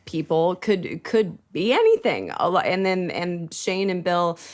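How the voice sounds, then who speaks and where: A woman's voice: on the low side, big pitch swings, unhurried. A woman, a voice actor, chatting casually as an interview guest.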